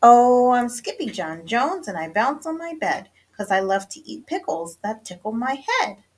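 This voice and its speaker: A woman acts out a little boy kitten from a storybook, bursting with big ideas. The voice is high and very animated, slightly husky, and loves to exclaim and chant. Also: slow, full character voice. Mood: gleeful. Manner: exclaims, chants and sings rhymes. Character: excitable little boy kitten, boastful and imaginative.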